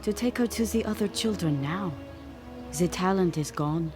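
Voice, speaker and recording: An actress playing a resolute, gentle professor's wife in a radio drama. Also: female voice, fairly low pitch, normal speed, natural voice. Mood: calm conviction. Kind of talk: vintage-style radio drama acting. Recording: faint music under the dialogue.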